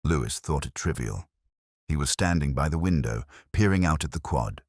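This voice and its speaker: Very deep-voiced brooding detective played by a man. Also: animated, no room sound, studio quality. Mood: brooding, reflective. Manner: measured, reflective, solemn. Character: brooding, literary, melancholy male police detective recounting a case. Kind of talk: scripted first-person audio mystery narration.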